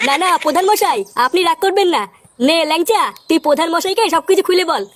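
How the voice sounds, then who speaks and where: Earnest cartoon buddy, really high pitched-up voice, talks quick, dry close sound. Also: no room sound. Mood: reassuring. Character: loyal, earnest cartoon village friend. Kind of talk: comedy cartoon dialogue.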